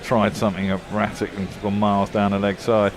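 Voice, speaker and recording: Low-voiced man talking cricket. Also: completely flat delivery, brisk, crowd noise. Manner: thoughtful, lots of "you know" fillers. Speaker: cricket commentator. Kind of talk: casual commentary chat.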